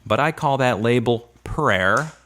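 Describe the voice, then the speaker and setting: A man playing an earnest, devout young man in a radio drama, with measured, formal, preachy phrasing. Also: mid-range voice, animated, bright, forceful tone. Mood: gentle, earnest.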